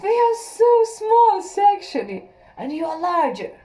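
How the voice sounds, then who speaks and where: Calm husband in his thirties talking fast and plain, read by a high-voiced woman, audiobook. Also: full character voice. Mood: matter-of-fact.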